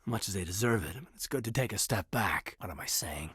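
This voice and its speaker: Casting: loyal military officer for a sci-fi game, husky and dry-recorded, earnest, measured, naturalistic delivery. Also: male voice, lowish voice, expressive, a bit bright, dry recording, full character voice. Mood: wry, restrained. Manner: sincere, measured, slightly hesitant. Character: earnest, loyal adult male space marine officer. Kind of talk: naturalistic video game dialogue acting.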